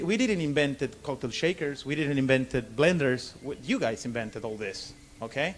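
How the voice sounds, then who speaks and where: A man from Argentina with a Spanish accent, giving an informal lecture in halting English and often checking in with "okay?". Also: a little high. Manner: halting non-native English, frequent "okay?" check-ins. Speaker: bartender giving a seminar. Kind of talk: informal lecture to a live audience.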